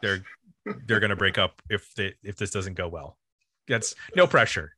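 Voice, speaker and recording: Bright-voiced man chatting about music. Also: deep voice, normal speed, slightly rough, no echo, clean studio sound. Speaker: podcast host. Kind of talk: casual music discussion.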